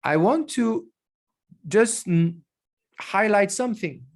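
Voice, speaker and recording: A man's voice: high voice, animated, slow pace. Man giving an online lecture. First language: French. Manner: explanatory, with frequent restarts.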